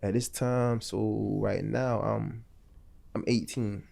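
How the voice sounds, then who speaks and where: A man's voice: on the low side, fairly even intonation, slow pace, gravelly, dark tone. A man in his late thirties from North Florida, a podcast guest telling long stories in a loose, slangy, rambling way. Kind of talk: casual storytelling interview.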